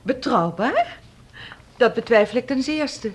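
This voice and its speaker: A sharp-tongued, bossy woman in a radio play. Her voice is fairly high and swings about in pitch. She speaks briskly, with a sardonic, challenging edge. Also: animated, slightly rough. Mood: sarcastic, challenging. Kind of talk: Dutch radio play dialogue.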